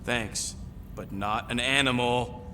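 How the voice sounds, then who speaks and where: A man voices a small, cynical beast in a space adventure game. He is sarcastic and blunt, in a medium male pitch. There is clear ambient noise behind the voice. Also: steady tone, slow, close to an everyday voice. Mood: dismissive. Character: snarky, cynical small male animal-like creature. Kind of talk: video game cutscene acting.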